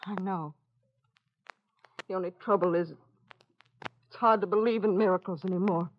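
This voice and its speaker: A woman's voice: fairly low pitch, steady tone, unhurried, close to an everyday voice. An actress playing a proud, anxious mother of a sick child in an old-time radio drama. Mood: bitter, doubtful. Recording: muffled old radio recording.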